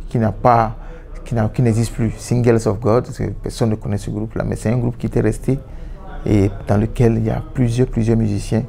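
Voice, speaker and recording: A man's voice: fairly low pitch, gravelly, mellow. A man in his thirties whose first language is Yoruba, giving reflective interview answers indoors, often restarting and repeating words. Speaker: musician. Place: indoor room, seated on a sofa.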